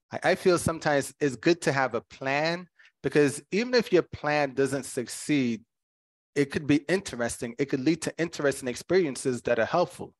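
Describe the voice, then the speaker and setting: An American man talks off the cuff on a video call. His voice is medium in pitch, and his delivery is casual and conversational, like an easygoing short speech. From United States. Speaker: speech contest participant.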